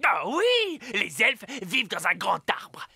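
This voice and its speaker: A woman voicing a talkative, friendly little forest gossip in an animated cartoon, with emphatic, eager delivery. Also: medium pitch, takes it slowly, vocal fry, music in the background, exaggerated voice. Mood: chatty, enthusiastic. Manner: talkative, emphatic, enthusiastic storytelling.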